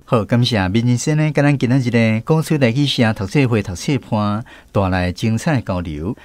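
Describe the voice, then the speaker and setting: A man's voice: mid-range voice, normal speed, darkish tone, faint music behind. A man with a Taiwanese accent hosting radio, delivering a scripted segment introduction. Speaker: radio host.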